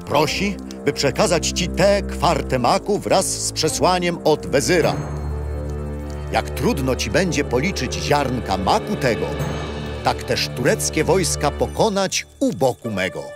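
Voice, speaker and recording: An actor playing a deferential royal attendant in a war camp, formal and dramatic, in a children's radio play. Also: male voice, a little high, very animated, a little slow, over music. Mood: deferential, urgent.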